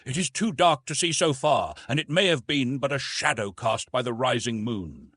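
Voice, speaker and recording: A man voicing a grave, protective young husband in an adventure audiobook, speaking in a measured way. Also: mid-range voice, expressive, fairly bright tone, slightly rough, no echo.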